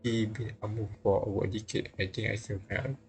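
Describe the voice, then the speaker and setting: A man's voice: lowish voice, steady tone, brisk. A man streaming a visual novel, reading the game text aloud and often stumbling and rereading phrases.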